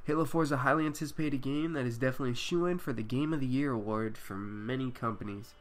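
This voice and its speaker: A man's voice: mid-range voice, fast. A man with a stuffy head cold, reading a prepared video game review aloud to his viewers with the odd um. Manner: reads a prepared review with filler ums. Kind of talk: scripted review read to camera.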